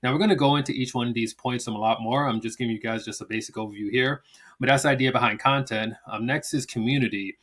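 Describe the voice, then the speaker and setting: A man's voice: mid-range voice, steady tone, quick pace, a bit bright. A man hosting his channel, explaining in a loose, rambling way with lots of fillers and restarts. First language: English. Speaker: channel host teaching language promotion. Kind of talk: casual talk-to-camera explainer.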